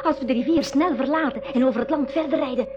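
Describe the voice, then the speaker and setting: Woman as clever young girl adventurer, high-pitched, decisive, radio play with clear background noise. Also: fast talker, lo-fi sound, lightly acted. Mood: reasoning. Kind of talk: 1980s school radio play acting.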